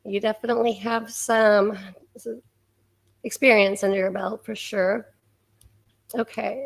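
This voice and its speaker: A woman hosting a livestream, reading out viewer comments and chatting, hoarse from losing her voice and clearing her throat now and then. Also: medium pitch. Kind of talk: casual chat.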